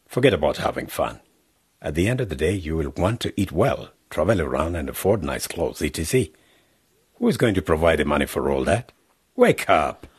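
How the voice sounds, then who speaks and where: An actor playing a scheming male politician who tries to buy off young journalists, talking down to them with a husky voice. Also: rumbling low, big pitch swings, brisk, no echo, clean studio sound, full character voice. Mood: persuasive. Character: scheming middle-aged male politician offering bribes. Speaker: member of parliament. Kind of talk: radio drama acting.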